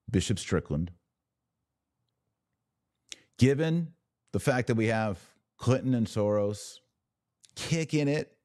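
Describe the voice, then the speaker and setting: A man's voice: lowish voice, a little mellow, dry recording, studio quality. An American man giving emphatic live opinion commentary as a podcast host, speaking to his viewers and repeating phrases for stress.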